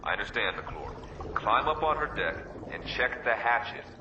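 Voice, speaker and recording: A man playing a stern, weary Navy destroyer captain in a radio drama, clipped and deliberate in his orders. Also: on the low side, big pitch swings, lo-fi sound, noisy background. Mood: focused, concerned.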